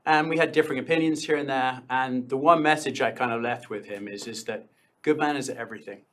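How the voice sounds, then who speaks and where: A man's voice: mid-range voice, quick pace, a bit bright. A man, raised in London, speaking earnestly as a town board candidate at a local debate, with frequent fillers. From born in India, grew up in London. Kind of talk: debate answers.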